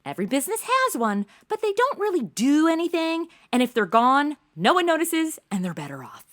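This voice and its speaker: A woman playing a sassy, high-voiced ditzy modern gal. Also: sing-song, brisk, fairly bright tone. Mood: dismissive. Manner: sarcastic, slangy, theatrical. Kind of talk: comedic audio drama acting.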